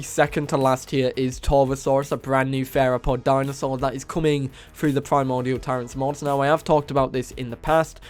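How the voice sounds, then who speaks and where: A man with a cold, sounding hoarse and nasal, enthusiastically narrating a game mod showcase as host of his channel. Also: mid-range voice. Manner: enthusiastic, casual, repeats praise. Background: faint music.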